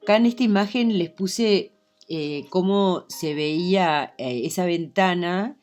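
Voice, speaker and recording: A woman's voice: fairly low pitch, slow pace, darkish tone, soft background music. A woman teaching a literature class, speaking hesitantly with many fillers and restarts. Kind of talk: online lecture.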